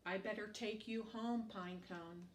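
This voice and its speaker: A woman voicing a young male penguin from a storybook, a curious and devoted friend with an expressive manner. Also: mid-range voice, unhurried, echoey room, far from the mic, a little hiss or hum, lightly acted. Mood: tender.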